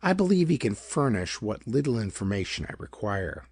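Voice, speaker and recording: A man's voice: lowish voice, sing-song, moderate pace, a little mellow, breathy edge, no room sound, studio quality, heavily acted. A man voicing a chubby, superior male detective in a pulp audiobook, with formal, pedantic, emphatic phrasing. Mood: confident.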